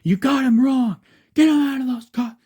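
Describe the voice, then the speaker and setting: Man voicing frantic prisoners yelling, pitched way up. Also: moderate pace, husky. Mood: frantic protest. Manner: shouting, urgent, repetitive. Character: frantic angry prisoners shouting at cops in protest. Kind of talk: improvised fan story voices.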